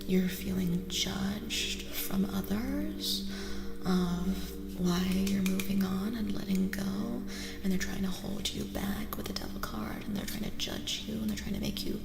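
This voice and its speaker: A soft-spoken, breathy woman who often drops into a whisper as she gives a gently reassuring ASMR tarot reading, repeating phrases slowly. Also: medium pitch. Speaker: ASMR host and tarot reader. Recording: echoing long reverb, music underneath.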